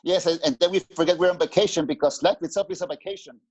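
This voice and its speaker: Middle-aged man from Mexico, slightly high voice, earnest reflective guest, muffled lo-fi call sound. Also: fast, a little mellow, breathy edge. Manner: earnest, searching for words, many restarts. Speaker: guest spiritual teacher. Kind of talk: reflective interview talk. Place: small room at home. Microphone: headset on video call. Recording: dull lo-fi call audio.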